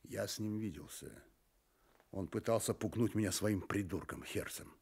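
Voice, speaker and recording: An actor playing a cool-headed, ironic private detective in a Russian radio drama, casual and quietly defiant. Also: male voice, fairly low pitch, moderate pace, slightly rough. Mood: coolly provoking.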